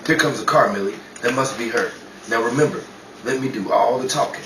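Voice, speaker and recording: A man's voice: mid-range voice, a little fast, lightly acted. A man acting a bumbling, joking, film-obsessed husband in a 1940s radio play, with a hesitant manner. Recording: far from mic, echoey, noisy background.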